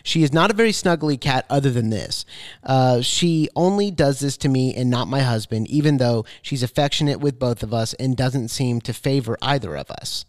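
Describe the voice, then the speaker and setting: A man with a medium-pitched voice and a dry, close sound. He speaks as a podcast co-host, reading aloud in a casual, informal style. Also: moderate pace, no room sound.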